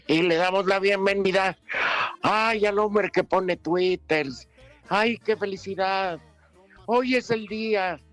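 A man's voice: sits high, slow pace, fairly bright tone, soft background music. A man hosting a sports talk show, teasing his co-hosts in a mock-gloomy way.

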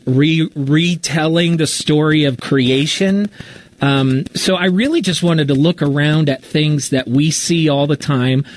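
A man's voice: on the high side, no echo. Candid man in a casual interview. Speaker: writer and storyteller of a fiction podcast, being interviewed. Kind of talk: casual live interview answers.

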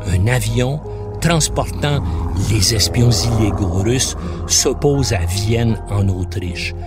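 A man's voice: on the low side, slow pace, over loud music. A man narrating a scripted documentary as a podcast host, in a dramatic storytelling manner.

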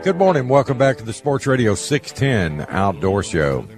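A man's voice: medium pitch, very animated, normal speed, over music. A man, hosting a radio show in an easygoing, folksy way, a little stuffy with a sore throat. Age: 70s. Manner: easygoing, folksy, laughs often. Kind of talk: casual radio chat.

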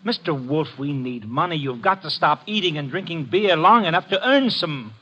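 A man's voice: fairly high pitch, expressive, moderate pace, pushed and bright. A man playing a wisecracking, stubborn detective's assistant, brash and informal, in a 1950s radio drama. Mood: exasperated, pleading. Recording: dull vintage 1950 radio recording.

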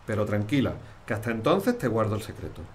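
A man's voice: on the low side, big pitch swings, fast. An actor playing an encouraging male driving instructor and neighbour, reassuring and colloquial, with an Andalusian accent, in a naturalistic audio drama.

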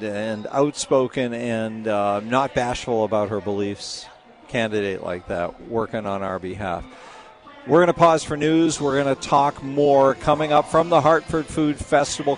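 Man hosting talk radio outdoors. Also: mid-range voice. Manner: animated, mimics opponents in a raised voice. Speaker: radio talk show host. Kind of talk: live radio interview. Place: outdoor food festival.

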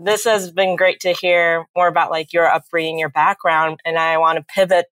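Woman from illinois, lowish voice, dry close sound, chatty co-host. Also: flat delivery, moderate pace, fairly bright tone, dry recording. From Illinois, United States. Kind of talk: casual interview.

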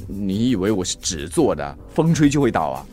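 A man's voice: low-pitched, very animated, normal speed, dark tone, soft background music. A man with a Singaporean accent acting in a radio drama as a rich young master, secretive, brusque and bantering.

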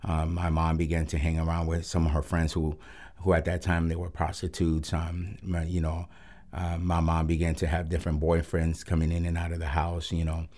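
A man from Rico, a Spanish speaker, giving a halting, reflective personal testimony as a guest pastor on a radio show. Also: deep voice, monotone, darkish tone. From Puerto Rico. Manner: halting and reflective, with frequent pauses.

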